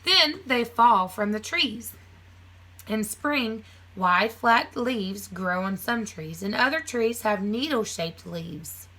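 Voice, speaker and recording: A woman's voice: a little high, expressive, slow, bright, forceful tone, rough recording. A woman teacher reading a children's nonfiction book aloud, phrasing it simply for young listeners with casual asides.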